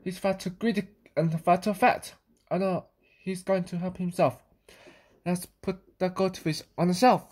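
A woman's voice: on the low side, steady tone, a little slow, a little mellow. A woman voicing a wary, scolding mother in a children's story, firm and full of warning.

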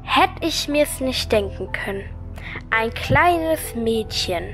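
A woman's voice: on the high side, animated, slow pace, a bit bright, soft background music. A woman playing a streetwise homeless girl in an audio drama, curt and dismissive. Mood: defiant, wary.

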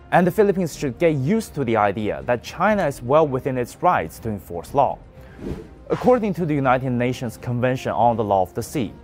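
A man's voice: mid-range voice, a little mellow. A young man presenting a scripted news commentary with an emphatic, pointed delivery.

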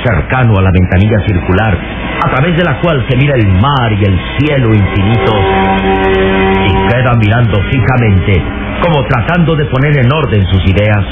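A man's voice: mid-range voice, lively intonation, normal speed. Guy narrating old radio drama, theatrical, thin phone-like audio w music under it.

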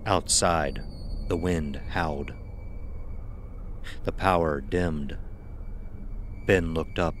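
A man's voice: very deep voice, drawn-out words, long pauses, a little hiss or hum. A man narrating a scripted horror audio drama as its storyteller.

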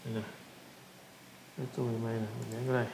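Man, Southern Vietnamese accent, casual tutorial instructor, repetitive short phrases, creaky voice, roomy echo. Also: mid-range voice, level tone, fast. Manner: repeats short phrases while demonstrating. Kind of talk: casual screen-recorded software tutorial. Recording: roomy echo with background noise.

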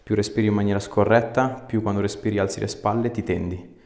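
A man's voice: on the low side, steady tone, quick pace, a little mellow. A man, a chiropractor giving casual instructions and chatting with a patient. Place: small indoor clinic treatment room. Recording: echoey treatment room, faint noise.

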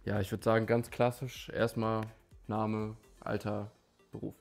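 A man of twenty-one talking casually on a dating show, with relaxed, hesitant short answers. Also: on the low side, unhurried, creaky, faint music behind. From Germany. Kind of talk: casual chat.

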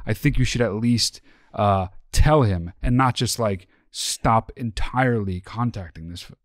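A man around forty with an American accent talking casually as a podcast co-host, explaining his advice in measured, informal words. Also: on the low side, no echo, clean studio sound. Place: small podcast studio. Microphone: close boom-arm podcast mic.

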